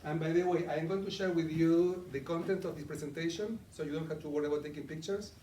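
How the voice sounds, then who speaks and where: A man's voice: a little high, fairly even intonation, fast talker, warm tone, distant mic, slight background noise. A middle-aged man with an Argentinian accent, giving a conversational live presentation and asking the audience questions. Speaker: coaching presenter. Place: meeting room with a live audience. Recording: echoey room, voice from afar.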